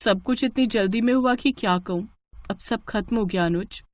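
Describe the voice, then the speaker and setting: A woman's voice: medium pitch, normal speed, darkish tone, dry recording, natural voice. A woman voicing a poor, dutiful young food-cart seller in a moral story, earnest and respectful. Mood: downcast, hesitant.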